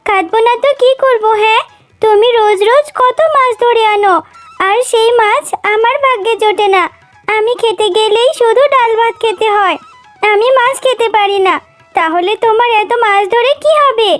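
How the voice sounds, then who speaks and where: Childish, very high-pitched cartoon girl. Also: moderate pace, no room sound, faint music behind. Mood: whiny, sulky. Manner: childish, pleading, insistent. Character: whiny, pouty little girl fox cub Tumpa.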